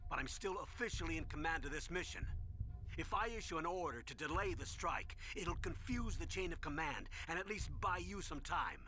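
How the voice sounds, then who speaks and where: A man playing a grave, dutiful middle-aged army colonel in a video game, measured and earnest. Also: a little high, animated, sharp tone, slight background noise, low-quality recording. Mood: resolute. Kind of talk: dramatic video game voice acting. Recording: music underneath.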